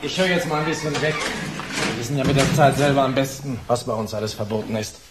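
A man's voice: medium pitch, fairly quick, over loud music, natural voice. An actor playing a courteous, wryly lenient male prison guard in a radio drama. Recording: echoey room.

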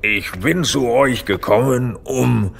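An actor playing a devout, courteous underground trapper creature in a fantasy audio drama, speaking formally. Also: male voice, mid-range voice, takes it slowly, vocal fry. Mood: solemn, courteous.

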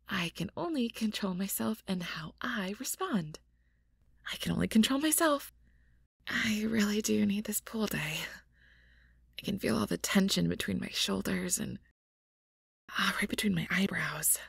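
A woman's voice: medium pitch, animated, a little slow, creaky, pauses often, breathy edge, no room sound, studio quality, heavily acted. A woman voicing a caring, anxious, responsible young woman who speaks carefully and breathily in an audio roleplay. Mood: self-soothing.

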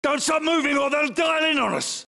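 Gruff british military captain from a shooter game, gravelly, hard forceful voice, barking short orders. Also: male voice, very high voice, moderate pace, full character voice. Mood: urgent, shouting. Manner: commanding, clipped orders. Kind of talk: video game battle chatter.

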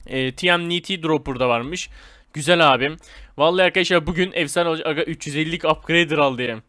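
A man giving casual, excitable gameplay commentary in informal slang, talking straight to his viewers. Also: on the high side, fast, no room sound, clean studio sound. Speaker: gaming video host.

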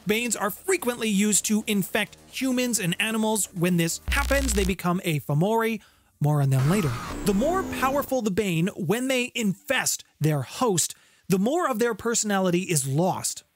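Middle-aged man, scripted gaming explainer, emphatic delivery, dramatic pauses, close studio mic, music underneath. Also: sits high, no echo. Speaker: host of a tabletop gaming channel. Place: small home room with guitars on the walls. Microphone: close studio condenser mic with pop filter. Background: background music.

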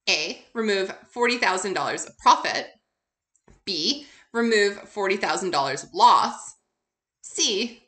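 A woman with a bright, forward voice delivers an instructional lecture in an informal way. She sounds upbeat and encouraging, speaking straight to her students as she explains. Her pitch sits in an ordinary middle range for a woman. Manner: upbeat, encouraging, addresses listeners with questions. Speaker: accounting instructor. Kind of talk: lecture narrated over slides, informal.

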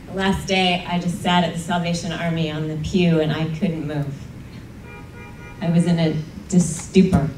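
A woman's voice: lowish voice, fairly even intonation, moderate pace, darkish tone, soft background music. A woman telling a personal story earnestly to a panel audience, with emphatic moments. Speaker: panel speaker. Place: conference room. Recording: roomy conference hall audio from a distance.

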